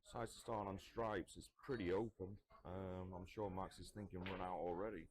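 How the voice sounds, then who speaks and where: A man commentating live on a pool match, talking loudly and in a chatty way. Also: low voice, steady tone, moderate pace, noisy background. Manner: loud, chatty, laughs easily. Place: commentary spot at a pool venue.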